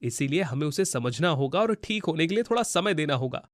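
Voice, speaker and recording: A man voicing a troubled, poor farmer and gentle father in a cartoon story, with an earnest, pleading manner. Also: a little high, animated, brisk, no room sound, clean studio sound, close to an everyday voice. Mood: consoling.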